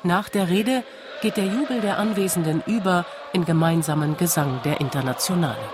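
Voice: medium pitch, fairly quick, heavy background noise. A narrator reading a scripted radio documentary.

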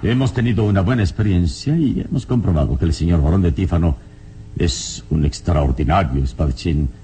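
An actor playing a serene, noble adventurer hero in an old radio serial, speaking in a measured, solemn way. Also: male voice, mellow, close to an everyday voice. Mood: calm, authoritative. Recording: noisy, rumbling old radio recording.

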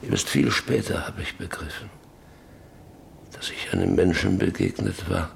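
An actor playing a weary, guilt-ridden middle-aged father in a radio drama, halting and heavy, often sinking toward a whisper. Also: deep voice, a little hiss or hum, heavily acted. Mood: grieving, reflective. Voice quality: creaky, often breaking into whisper. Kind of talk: German radio drama acting, monologue and dialogue.

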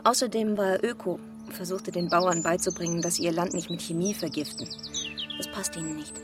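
A woman's voice: lowish voice, fairly even intonation, fairly quick, over loud music, close to an everyday voice. An actress playing an earnest, politically aware village mother in a radio crime drama, explaining things with a wry edge. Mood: earnest, bitter.

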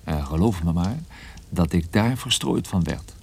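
A man playing a troubled, wry and guarded private detective in a radio play, with a husky voice and a dry, hesitant manner. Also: deep voice, expressive, a little slow, darkish tone, slight background noise, heavily acted. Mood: wry narration. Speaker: lead character and narrator. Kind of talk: radio play narration and dialogue.

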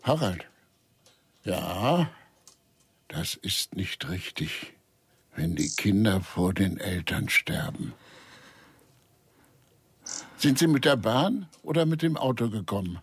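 An actor playing a confused elderly father in a radio crime drama, brusque and halting, forgetful and husky. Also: fairly low pitch, sing-song, no echo, full character voice. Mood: muddled, sad. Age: older. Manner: halting, forgetful, repeating himself.

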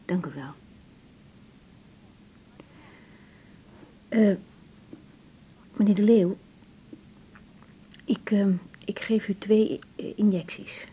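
A woman's voice: medium pitch, slow, long pauses, breathy edge. An actress playing a troubled, conscientious young woman doctor in a Dutch radio drama, speaking hesitantly. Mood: nervous, subdued. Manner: hesitant, searching for words. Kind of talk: 1980s Dutch radio drama acting with spoken diary monologue. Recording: dull 1980s radio recording.

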